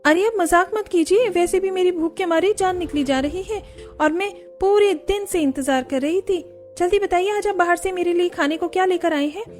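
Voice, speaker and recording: Lady doing a young bahu in a cartoon, really high voice, emotional n pleading, bit of music behind. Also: normal speed. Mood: excited, eager. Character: young daughter-in-law, eager, pleading, quick to take offence. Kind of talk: Hindi cartoon story dialogue.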